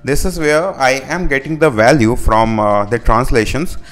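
A man from India whose first language is Hindi, talking through a coding tutorial over his screen and filling his sentences with pauses and restarts. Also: medium pitch, fairly bright tone. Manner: halting, many "uh" fillers and restarts. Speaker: web developer.

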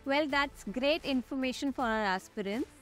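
A young woman with an Indian accent hosts as an airline pilot. Her voice sits a little high, and she delivers scripted questions and explanations over background music. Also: normal speed, fairly bright tone. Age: young adult. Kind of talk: scripted interview hosting and presenting. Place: large glass-walled airport tower room. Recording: music under the voice.

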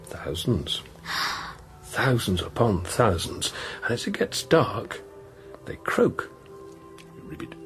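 Troubled gentle priest, lowish voice, broody and intense, radio play w music under it. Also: male voice, sing-song, full character voice. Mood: gentle, musing. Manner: brooding, halting, intense, repeating phrases. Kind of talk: radio drama acting, interior monologue and dialogue.

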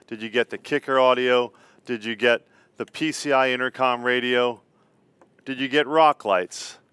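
A middle-aged man with an American accent gives a plain-spoken product tutorial. His voice is smooth and clean, recorded dry and close in a studio. He lays out each step in order. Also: medium pitch, fairly bright tone, no room sound. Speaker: company co-founder presenting product tutorial. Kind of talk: instructional product walkthrough. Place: indoor studio set.

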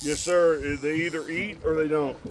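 Brisk charter captain shouting on deck. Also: male voice, a little high, steady tone, a little hiss or hum. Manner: brisk, loud instructions and hype. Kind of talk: on-boat coaching and promo talk. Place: open fishing boat at sea.

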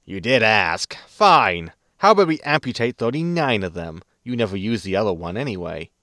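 Man playing sardonic, low-voiced space captain. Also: sing-song, normal speed, a bit bright, no echo, clean studio sound. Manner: sardonic, grumbling. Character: gruff, sarcastic male spaceship captain and military pilot. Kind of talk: sci-fi comedy audio drama acting.

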